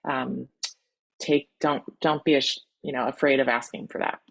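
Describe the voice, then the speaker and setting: A woman's voice: extremely low pitch, flat delivery, normal speed, gravelly, poor audio. A woman college admissions counselor teaching an online workshop over a video call, encouraging and full of ums and restarts.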